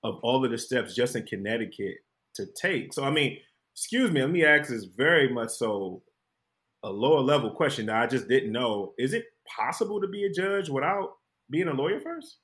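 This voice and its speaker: A man at a middle pitch hosts an interview. He is playful and joking, with self-deprecating asides as he asks his questions. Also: lively intonation, moderate pace, a bit bright. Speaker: host. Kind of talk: casual interview.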